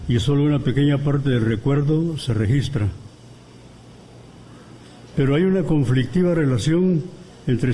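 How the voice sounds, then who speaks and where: A man from Guatemala, giving an academic lecture as guest commentator at a book presentation, measured and deliberate, pausing between phrases. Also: mid-range voice, dark tone, a little hiss or hum, rough recording. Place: university auditorium.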